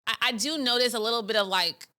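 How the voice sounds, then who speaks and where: Bright-voiced New woman. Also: on the high side, fast. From New York. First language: Russian. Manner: chatty, frank, many "like" fillers. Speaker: guest, bookstore founder. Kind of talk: casual podcast conversation.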